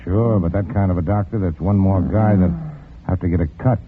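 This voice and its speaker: An actor playing a cool, sardonic gangster with a clipped, hardboiled manner in a 1940s radio noir drama. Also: male voice, deep voice, steady tone, a little fast, close to an everyday voice. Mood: tough, mocking. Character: cool, cynical tough-guy gangster, sardonic. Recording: muffled narrow-band old radio, faint noise.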